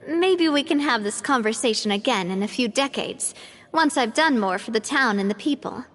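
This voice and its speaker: A woman's voice: fairly high pitch, animated, a little slow. An actress playing a cheerful young woman who leads her group, playful and self-deprecating, in a game cutscene. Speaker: group leader. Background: soft game music.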